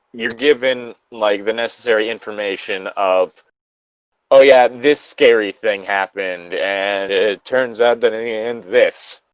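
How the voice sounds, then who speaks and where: Man, fairly low voice, casual opinionated podcast chat, rambling, sweary, muffled dry recording. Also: no room sound. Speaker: podcast co-host.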